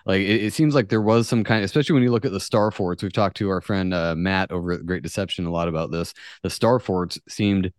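A man's voice: low-pitched, a little fast, hardly any pauses, no echo, clean studio sound. A man hosting a podcast in casual chat, informal and slangy, swearing offhandedly. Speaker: podcast host.

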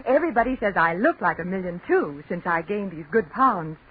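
A woman's voice: mid-range voice, sing-song, brisk, slightly rough. An actress playing a young working woman in a 1940s radio commercial. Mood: delighted. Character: lonely, jittery young working woman in tonic ad. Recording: muffled, crackly 1940s radio broadcast.

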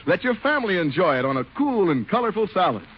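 A man's voice: high voice, sing-song, fast talker, husky. A man reading scripted ad copy and narration as a 1950s radio announcer. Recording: muffled 1950 radio recording.

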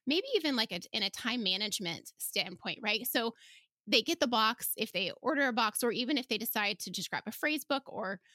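A woman's voice: fairly high pitch, lively intonation, fairly quick, pushed and bright, no echo, studio quality. A woman hosting a podcast interview in a casual, informal way, often checking in with "right?". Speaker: podcast host.

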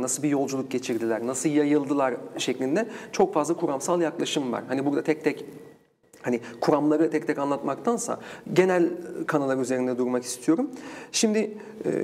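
Fast-talking man explaining like an academic. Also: mid-range voice, darkish tone. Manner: discursive, explanatory, poses rhetorical questions. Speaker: interview guest, folklore researcher.

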